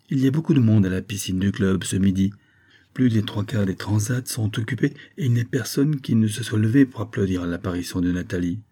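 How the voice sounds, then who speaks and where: A man reading a first-person novel aloud in a measured storytelling manner. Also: lowish voice, mellow, husky. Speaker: audiobook narrator.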